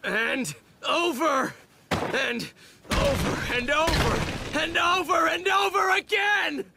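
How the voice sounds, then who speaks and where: A voice actor playing a furious young male ninja, shouting louder and louder in an anime clip. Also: sits high, takes it slowly, gravelly, background noise, heavily acted. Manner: escalating shout.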